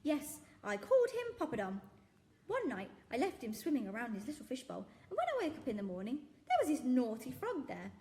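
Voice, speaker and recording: A big kindly storybook shopkeeper that a woman acts out, warm, sentimental, high and bubbly. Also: huge pitch swings, fairly quick, exaggerated voice. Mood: tender. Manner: warm, fussing, softly scolding.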